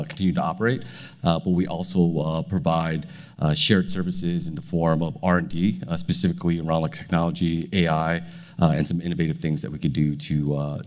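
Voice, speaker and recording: A man with a low voice, raspy and hoarse from a cold, introduces himself on a conference panel before a live audience. He speaks haltingly, with many fillers. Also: darkish tone, long reverb tail. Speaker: panelist, company president.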